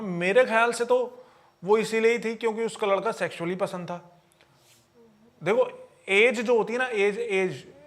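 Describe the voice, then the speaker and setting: A man's voice: sits high, creaky. A man telling stories conversationally on a podcast, explaining as he goes and checking in with his listener.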